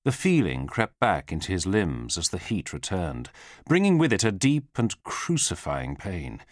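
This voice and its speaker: A man narrates a thriller audiobook in a deep voice that moves widely in pitch. His delivery is measured and solemn, building suspense with weighted pauses. The sound is dry and close, with no room around it. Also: huge pitch swings, no room sound.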